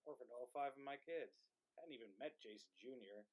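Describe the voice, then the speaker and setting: A man's voice: medium pitch, fairly quick, darkish tone, close to an everyday voice, low-quality recording. A man playing the bitter, accusing ghost of a dead soldier in a play reading.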